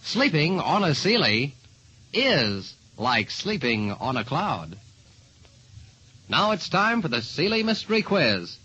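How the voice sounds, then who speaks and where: A man's voice: a little high, very animated, takes it slowly, sharp tone, husky, highs cut off. A man announcing in 1940s radio style, on an old radio recording.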